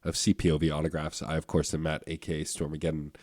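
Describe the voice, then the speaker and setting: A man hosting a podcast interview, hoarse from a cold, talking with eager enthusiasm. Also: a bass voice, completely flat delivery, fast, darkish tone, dry recording, studio quality. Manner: enthusiastic, gushing praise. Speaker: podcast host.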